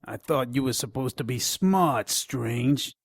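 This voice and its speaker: A man's voice: mid-range voice, lively intonation, slow, slightly rough, no echo, studio quality, full character voice. A man playing a crude, taunting nonhuman pawn shop dealer in an audio drama. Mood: scornful, sly. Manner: taunting, contemptuous.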